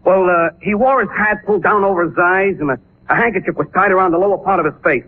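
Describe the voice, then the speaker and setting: An actor playing an eager, vain working-class gas station attendant, excitable and halting, in 1940s radio drama. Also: male voice, high voice, a little hiss or hum, natural voice. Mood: nervous, explaining. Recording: muffled vintage 1940s radio recording.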